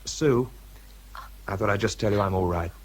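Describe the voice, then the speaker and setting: A man's voice: lowish voice, moderate pace, a little hiss or hum, natural voice. An actor playing an exhausted, self-doubting British bomb disposal man in a radio drama, introspective and understated in narration and dialogue. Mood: subdued.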